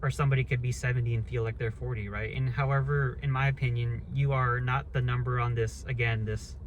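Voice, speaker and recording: A man in his early thirties holds forth in a loose, unscripted monologue, blunt and quick to swear as his thoughts wander and restart. His voice sits in an ordinary middle pitch, and a faint hiss runs under the recording. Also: steady tone, highs cut off. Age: 30s. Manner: blunt, rambling, swears freely. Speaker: vlogger. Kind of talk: casual monologue rant.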